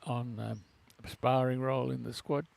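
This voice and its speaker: Co-commentator: gravelly-voiced man who talks in halting, restarting phrases. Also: medium pitch, fairly even intonation, darkish tone. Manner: halting, with many restarts and uh fillers. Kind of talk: relaxed commentary chat.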